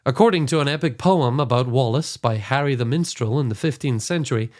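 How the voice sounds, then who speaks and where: This is a man in his forties hosting a podcast, his voice a little hoarse. He reads a scripted introduction in an earnest, enthusiastic storytelling manner, at a middling pitch. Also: a little fast, darkish tone. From Scotland. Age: 40s. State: slightly hoarse. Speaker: podcast host.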